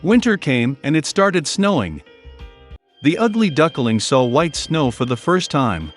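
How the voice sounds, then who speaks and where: Lively man reading a fairy tale. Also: fairly high pitch. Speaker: storyteller. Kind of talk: scripted fairy-tale narration.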